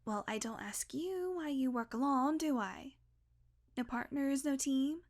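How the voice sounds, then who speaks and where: Female vampire, woman actor, higher-pitched, unhurried delivery; sardonic, blunt, guardedly caring; intimate audio roleplay. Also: a little mellow. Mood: irritated, guarded. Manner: sarcastic, blunt, coaxing, sighing, deliberate pauses.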